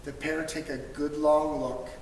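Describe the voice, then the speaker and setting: Slow-paced woman reading in an echoey room. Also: sits low. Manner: expressive, dramatic emphasis with drawn-out words. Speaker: storybook reader for school children. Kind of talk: animated read-aloud of a children's picture book. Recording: distant mic, echoey reverberant room, faint noise.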